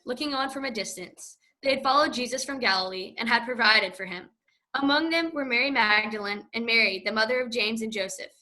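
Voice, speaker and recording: A woman narrates the scripture passage in church with a bright, slightly high voice. She reads in a steady, clear way. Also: low-quality recording. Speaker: scripture reader.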